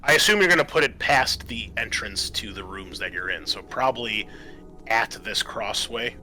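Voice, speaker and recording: A man's voice: on the low side, lively intonation, normal speed, sharp tone, soft background music, poor audio. A man acting as game master, improvising the narration of a tabletop game.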